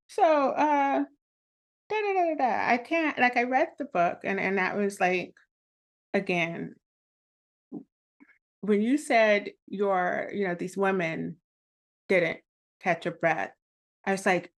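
A woman from South Carolina, hosting a podcast interview and chatting in a storytelling way. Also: medium pitch. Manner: chatty, storytelling, frequent backchannels. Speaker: podcast host.

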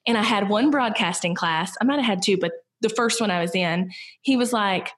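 A woman's voice: mid-range voice, a little fast. Southern US woman in her thirties, mid-range voice, chatty interview guest who rambles and fills with "like" and "you know". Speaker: podcast guest, business owner. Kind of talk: casual conversational interview.

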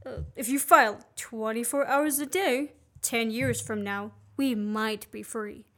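A woman's voice: a little high, measured pace, bright, forceful tone. A young woman playing a sardonic, commanding man on the run in a student radio play. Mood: sarcastic. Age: young adult. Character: fugitive man, sardonic, bossy, wrongly accused.

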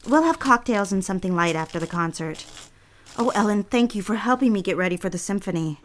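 An actress playing an anxious, romantic young bride-to-be in a period drama, speaking in a genteel, earnest, old-fashioned way. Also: female voice, medium pitch, lively intonation, brisk, a little hiss or hum, natural voice. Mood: eager, nervous. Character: anxious young bride-to-be office woman, reflective romantic narrator. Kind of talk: dramatized first-person narration and dialogue, period radio drama.

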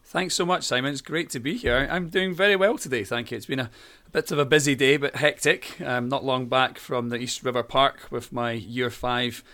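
A man's voice: mid-range voice, fairly even intonation, normal speed, few pauses, sharp tone. A man in his thirties with a Scottish accent, a teacher being interviewed and chatting in a friendly, talkative way with plenty of fillers and restarts. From Scotland. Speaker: primary school teacher. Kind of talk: conversational interview.

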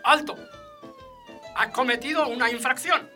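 A man's voice: very high-pitched, a little slow, bright, forceful tone, full character voice. A man playing a stern, officious traffic policeman who barks reprimands in a radio drama. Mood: stern, scolding. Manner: barking, officious. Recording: music clearly under the voice.

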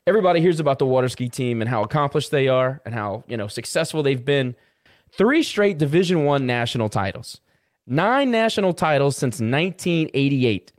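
A man's voice: medium pitch, dry recording, clean studio sound. A man hosting a podcast in an informal, enthusiastic, conversational interviewing style. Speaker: podcast host.

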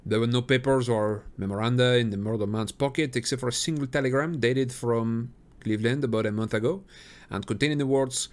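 A man reading aloud and voicing a male police inspector in a steady, matter-of-fact way. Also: medium pitch, brisk, few pauses, natural voice. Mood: reporting.

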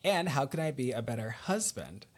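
A man with a mid-range voice hosts a relaxed, informal podcast conversation. He is supportive and encouraging, speaking in an everyday conversational way. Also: medium pitch, moderate pace, breathy edge. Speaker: podcast host. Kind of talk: casual chat.

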